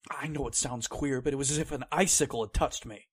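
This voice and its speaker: Uneasy male office employee, played by a male actor, worried and hesitant, husky edge, old-time radio thriller. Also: medium pitch, brisk, natural voice. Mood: spooked, uneasy. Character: anxious, uneasy male employee searching his employer's gloomy house.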